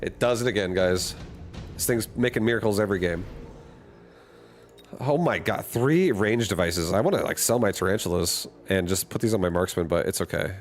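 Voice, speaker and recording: Low-voiced man narrating his game. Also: moderate pace, faint music behind. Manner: upbeat, thinking aloud about strategy. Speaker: gaming streamer. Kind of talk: casual gameplay commentary.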